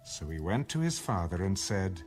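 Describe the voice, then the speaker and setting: A man narrates scripture in a storytelling style. His voice is low and lively in pitch, with measured phrasing. Music is clearly heard beneath him. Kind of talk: scripted audio Bible narration. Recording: background music under the voice.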